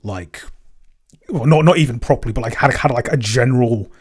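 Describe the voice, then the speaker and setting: A man's voice: mid-range voice, brisk, slightly rough. A man, one of a podcast's creators, chatting casually and unscripted as he answers questions, hesitant and often rephrasing mid-sentence. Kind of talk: casual unscripted behind-the-scenes chat.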